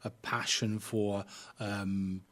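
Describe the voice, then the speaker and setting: A man's voice: on the low side, flat delivery, drawn-out words, a bit bright. A man interviewing on radio in a conversational way, with frequent affirming interjections and loosely built questions.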